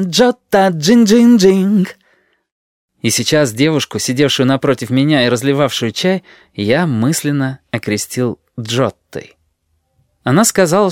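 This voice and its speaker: Calm male audiobook narrator. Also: mid-range voice, dry recording, clean studio sound. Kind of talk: first-person audiobook narration.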